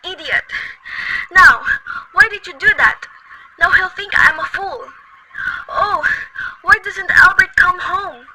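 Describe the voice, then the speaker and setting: A woman's voice: extremely high pitch, slow, pauses often. A woman voicing a nervous bedridden invalid, frantic and complaining, near tears. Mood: anxious. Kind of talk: student radio play reading. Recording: loud background noise.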